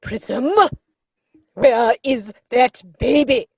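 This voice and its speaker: A woman performs a haughty older noblewoman in a comic stage play. Her voice is fairly high with a husky edge, recorded dry and close. She delivers each pronouncement with pompous, commanding weight. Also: extremely slow, warm tone, no echo, heavily acted. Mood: stern, accusing. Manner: pompous, commanding, pointed emphasis.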